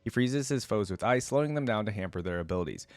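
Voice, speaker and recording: A man's voice: lowish voice, expressive, fast talker, no room sound. A man giving casual, unscripted reaction commentary as a video host, chatty and thinking aloud.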